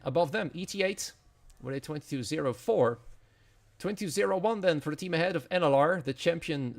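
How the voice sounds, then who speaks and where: A man's voice: fairly high pitch, vocal fry. A man with a Dutch accent, speaking English as a non-native, giving live commentary as an esports racing commentator. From Netherlands.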